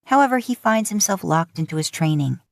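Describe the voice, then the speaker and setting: A woman's voice: lowish voice, animated, brisk, creaky, dry recording. A woman narrating scripted romantic fiction as an audiobook-style storyteller, recorded close to the mic.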